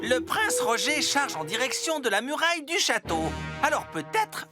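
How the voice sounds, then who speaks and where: Eager childlike cartoon digger, high and swooping. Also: male voice, very animated. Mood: eager, excited. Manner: bubbly, drawn-out exclamations. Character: eager, childlike talking digger machine, male, good-hearted. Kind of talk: French cartoon dub. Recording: loud music behind the voice.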